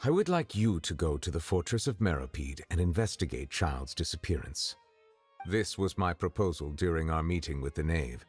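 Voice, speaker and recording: Grave game official: very low, smooth-edged restraint, slightly husky, formal diction, faint music behind. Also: male voice, very animated, heavily acted. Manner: measured, formal, precise diction. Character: grave, formal male official of high rank, reserved and courteous. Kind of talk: video game voice acting.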